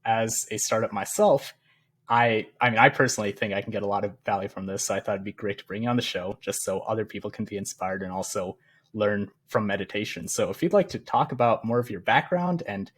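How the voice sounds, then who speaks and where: A man's voice: on the low side, fairly quick, slightly rough. A man hosting a podcast, upbeat and welcoming as he introduces and questions his guest. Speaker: podcast host. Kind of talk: podcast interview.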